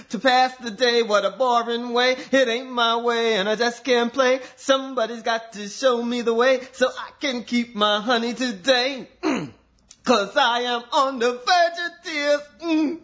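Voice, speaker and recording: A man's voice: extremely high pitch, bright, forceful tone, highs cut off, full character voice. A man playing a jilted, self-pitying man in a melodramatic stage piece, moaning a plaintive, half-sung, tearful lament.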